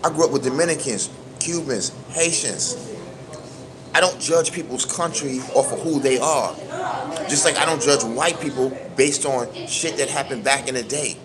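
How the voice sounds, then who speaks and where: A man's voice: mid-range voice, normal speed, crowd noise. A middle-aged man from New York with a Brooklyn accent, hosting a livestream and talking in an emphatic, preachy way full of street slang. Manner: emphatic, preachy, keeps asking "you understand me?"; street slang, animated storytelling. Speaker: livestream host. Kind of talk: casual livestream monologue.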